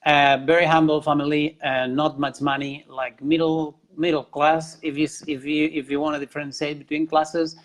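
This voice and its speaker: A man with a Spanish accent speaks in a fairly high voice. He tells his life story in a casual interview, earnest and a little halting, often restarting and repeating himself. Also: flat delivery. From Spain, Catalonia. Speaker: interview guest.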